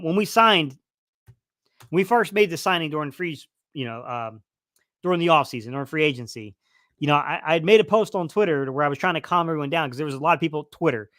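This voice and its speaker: A man's voice: fairly high pitch, a little fast, a bit bright, no room sound, studio quality. Rambling man hosting a football live stream. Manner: rambling, many fillers and restarts. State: losing his voice a little. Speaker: sports livestream host. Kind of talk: casual live-stream football talk, reading chat.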